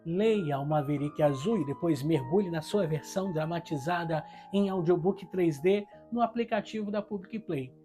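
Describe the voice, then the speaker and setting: A woman's voice: fairly low pitch, moderate pace, few pauses, dark tone. A Brazilian woman reading a scripted literary review in a solemn, reflective and rhetorical manner. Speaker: book reviewer. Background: guitar music.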